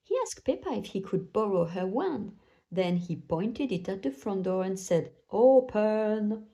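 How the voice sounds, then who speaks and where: A woman's voice: mid-range voice, sing-song, moderate pace, mellow. A woman narrating a children's bedtime story aloud, telling it expressively for young listeners.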